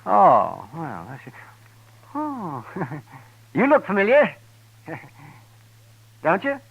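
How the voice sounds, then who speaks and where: An actor playing a chatty older frontier town doctor in a 1950s radio drama, with a high, wheezy, chuckling voice. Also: male voice, huge pitch swings, very slow, vocal fry, fairly bright tone, breathy edge, highs cut off, heavily acted. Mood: curious. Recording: noisy old radio broadcast.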